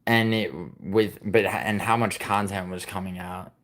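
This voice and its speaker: Low-voiced, rambling, foul-mouthed streamer man. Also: steady tone, moderate pace, a bit bright. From born in Italy, raised in New York. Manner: rambling and profane, with many "like" and "I mean" fillers and restarts. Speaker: livestreamer chatting with viewers. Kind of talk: casual live-stream chat, reading out and answering chat messages.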